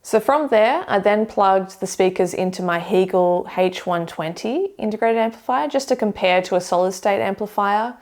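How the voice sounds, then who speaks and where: A woman's voice: medium pitch, normal speed, few pauses, darkish tone. Young woman reviewing hi-fi speakers. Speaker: audio equipment reviewer. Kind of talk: scripted review to camera. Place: living room with hi-fi shelves, indoors.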